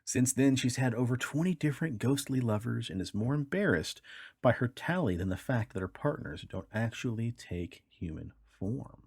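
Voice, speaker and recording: A man's voice: medium pitch, lively intonation, normal speed, creaky, darkish tone, slightly rough, no room sound, studio quality. A man hosting a podcast, reading odd news stories aloud in a wry, tongue-in-cheek way. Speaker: podcast host.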